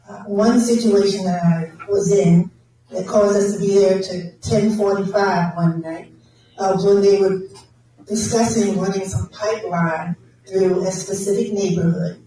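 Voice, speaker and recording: Emphatic council member with a mellow voice, echoing. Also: medium pitch. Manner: emphatic, uses repetition. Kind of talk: candidate forum answers. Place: public meeting hall. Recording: echoey hall, distant mic.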